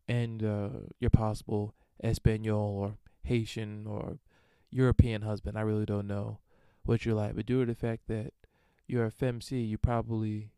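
Smooth, mellow-voiced man riffing crudely. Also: lowish voice, dry recording, clean studio sound. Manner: crude, irreverent, rambling with frequent fillers. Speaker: podcast host answering listener emails. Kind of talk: casual solo monologue.

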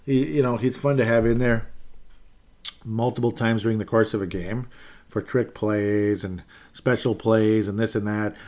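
A man with a lowish voice hosts a loose, unscripted sports podcast. He rambles, stops to correct himself and drops wry little asides as he goes. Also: a little mellow. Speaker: podcast host. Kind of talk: casual sports podcast talk.

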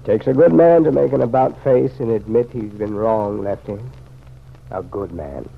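An actor playing a kindly, thoughtful gentleman with a gravelly, husky voice in an old-time radio serial. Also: lowish voice, normal speed, a little hiss or hum. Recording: tinny, narrow vintage radio sound.

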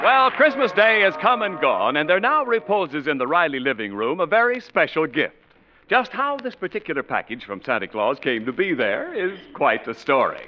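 High, emphatic vintage male radio announcer. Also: big pitch swings, normal speed. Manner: emphatic, polished. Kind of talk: 1940s radio announcing, scripted narration and ad read. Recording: dull vintage radio broadcast over music. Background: music.

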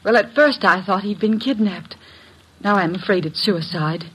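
A woman's voice: medium pitch, moderate pace, slightly rough, natural voice, poor audio. An actress playing an anxious, well-bred young woman in a radio drama, earnest and fretful. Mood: distressed. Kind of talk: old-time radio drama acting.

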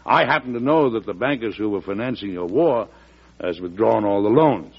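An actor with an American accent playing a shrewd, genial, avuncular president in an old-time radio drama, in a deliberate storytelling manner. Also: male voice, lowish voice, expressive, breathy edge. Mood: firm, persuasive. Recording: dull lo-fi vintage radio broadcast.

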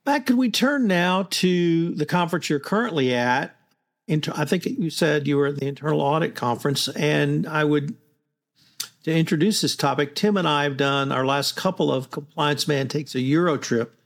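A male podcast host with a gravelly, fry-laden voice pitched a bit above the usual man. He asks long, chatty questions and steers the talk between his guests. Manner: chatty, leading questions, frequent fillers. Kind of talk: conversational interview hosting.

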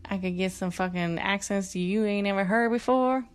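A woman's voice: medium pitch, normal speed, smooth. A woman hosting her own podcast alone, chatting casually and swearing freely, with lots of fillers and restarts. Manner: profane, frequent fillers and restarts. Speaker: podcast host. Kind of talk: casual solo podcast monologue.

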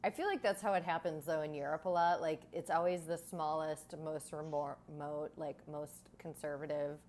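A woman's voice: low voice, steady tone, normal speed, a little mellow, slight background noise. A woman hosting a podcast, chatting casually and using a lot of filler words. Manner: chatty, many "like"s. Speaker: podcast host. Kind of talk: casual interview.

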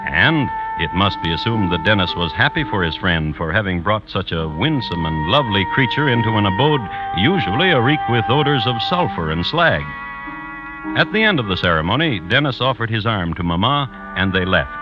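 A man's voice: on the low side, sing-song, normal speed, loud music behind the voice. A man giving wry, mock-serious scripted narration for an old-time radio drama. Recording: dull vintage radio recording.

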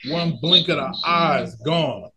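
A man's voice: on the high side, slow, pushed and bright. A man giving casual, slangy, profane watch-along commentary as a host, sounding amused. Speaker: podcast host reacting to a cartoon.

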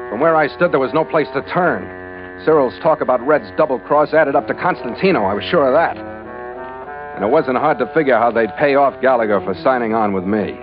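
Hardboiled adventurer, male actor, American, mid-pitched, wry and terse, vintage radio narration, muffled, scored with music. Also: close to an everyday voice. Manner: terse, sardonic. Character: hardboiled, wisecracking American adventurer narrating his own case. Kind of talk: 1940s radio detective acting, first-person narration. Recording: muffled old radio recording with music underneath.

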